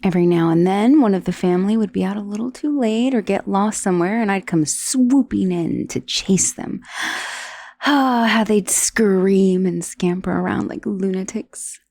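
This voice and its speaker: A woman's voice: medium pitch, normal speed, darkish tone, close to an everyday voice. A woman playing an undead dullahan's severed head in an ASMR roleplay, conversational and dryly sardonic, menacing yet wistful, with sighs and hesitations. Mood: gleeful, menacing.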